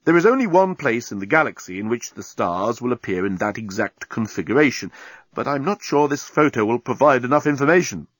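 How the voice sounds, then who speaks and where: A smug male alien navigator from a science fiction audiobook, acted by a male narrator. His speech is measured, formal and condescending, with a husky tone and a dry, close sound. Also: medium pitch, animated, no room sound, lightly acted. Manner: slow, deliberate, formal. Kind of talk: audiobook character voice.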